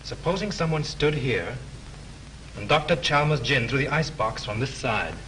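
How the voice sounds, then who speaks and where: An actor playing a sharp young man playing detective at a crime scene, brisk and instructive in 1930s film style. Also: mid-range voice, expressive, poor audio. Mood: curious. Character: sharp young male screenwriter sleuth. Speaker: amateur sleuth in a 1930s film. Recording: hissy old 1930s film soundtrack.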